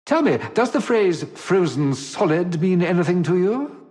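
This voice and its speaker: An actor playing a suave, cowardly gentleman rogue in a video game, glib, florid and theatrically polite. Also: sits high, big pitch swings, moderate pace. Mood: coy, glib. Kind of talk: video game character acting. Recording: long hall reverb.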